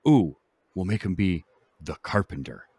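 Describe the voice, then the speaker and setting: A man's voice: deep voice, animated, moderate pace, warm tone, breathy edge, dry recording. A man hosting a casual podcast discussion about films. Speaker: podcast host.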